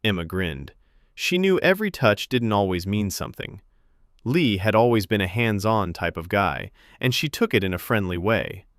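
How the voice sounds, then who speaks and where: A man's voice: lowish voice, expressive, moderate pace, a bit bright, dry recording, studio quality. A man reading scripted fiction narration as a storyteller.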